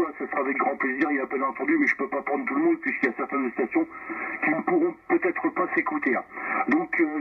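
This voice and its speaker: A man chatting casually as an amateur radio operator, repeating his phrases, heard over a radio transmission. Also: a little high. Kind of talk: casual radio contact chat. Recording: narrow phone-like radio band, noisy.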